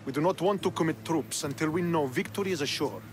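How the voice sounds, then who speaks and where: An actor playing a stern, suspicious Spartan military commander in a video game, with a commanding, distrustful manner. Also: male voice, a little high, quick pace, a little hiss or hum, natural voice. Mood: commanding, grudging. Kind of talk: dramatic video game acting.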